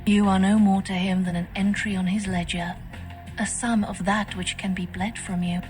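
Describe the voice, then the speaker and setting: A woman's voice: medium pitch, little pitch movement, darkish tone, natural voice. An actress playing a composed, scheming female vampire faction leader in a video game, speaking formally. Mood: cool warning. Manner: formal, measured, stately. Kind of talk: video game dialogue acting. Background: music.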